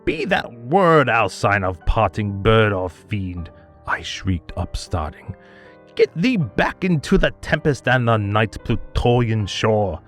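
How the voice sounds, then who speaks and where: A man with a lowish voice reads a poem with deliberate, unhurried pacing. His delivery is theatrical, with pitch that leaps and falls widely, dropping to hushed murmurs and rising to anguished cries. Soft music plays faintly underneath. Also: very animated. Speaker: voice actor. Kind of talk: dramatic poetry reading. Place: home studio.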